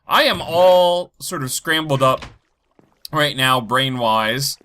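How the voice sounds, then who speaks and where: A man with a head cold, stuffy and a bit low energy, rambling as he talks through his game and thinks aloud. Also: animated, slow pace, sharp tone, clear, resonant tone. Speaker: Minecraft let's play host. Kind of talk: casual gameplay commentary.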